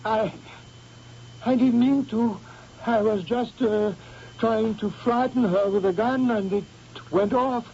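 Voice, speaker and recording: An actor playing a desperate, volatile young painter who talks in frantic, broken phrases, in a 1970s radio drama. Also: male voice, high-pitched, fairly even intonation, takes it slowly, creaky, mellow, exaggerated voice. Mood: shaken. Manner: frantic, pleading, breaking off mid-phrase. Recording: dull old radio broadcast, faint hiss.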